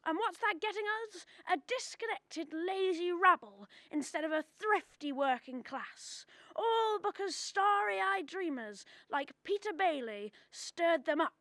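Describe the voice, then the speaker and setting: A sneering, rich old man of business played by a young woman in a radio drama. The voice is very high and bright, delivered slowly and haughtily. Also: expressive, dry recording, heavily acted. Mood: haughty, sneering. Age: young adult. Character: contemptuous, cold, rich old male banker. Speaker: student actor.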